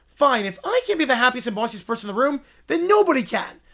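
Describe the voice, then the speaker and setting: A man's voice: extremely high pitch, sing-song, fairly bright tone, full character voice. A man voicing a childish, bossy sports fan who whines petulantly and wants everyone to be miserable. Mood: bossy, exasperated. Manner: whining, petulant, drawn-out complaints.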